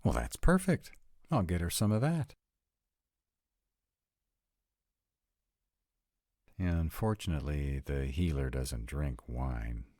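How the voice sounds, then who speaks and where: A man acting a very deep-voiced sarcastic vampire. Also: darkish tone, no echo, full character voice. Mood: wry. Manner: dry, sarcastic, reluctant. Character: sarcastic, grudgingly devoted male vampire mate. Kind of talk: scripted roleplay audio drama, one side of a conversation.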